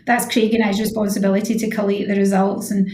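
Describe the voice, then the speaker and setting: A woman's voice: mid-range voice, little pitch movement, fast talker, darkish tone, a little hiss or hum. A woman chatting informally as an expert guest in a radio interview, explaining things and readily agreeing. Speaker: head of physiotherapy, interview guest. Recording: echoey room sound.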